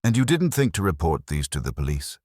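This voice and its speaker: Courteous, shrewd male inspector acted by a male narrator, very deep, polite measured questioning, mystery story. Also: sing-song, a little mellow, no echo, clean studio sound, full character voice. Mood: gently probing. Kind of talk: scripted mystery story dialogue.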